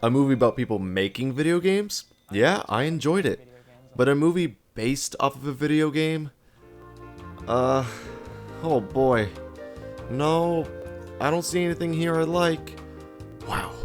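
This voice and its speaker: A man's voice: fairly high pitch, animated, measured pace, soft background music. A man narrating a video essay in a casual, conversational way, sarcastically asking questions and answering them himself.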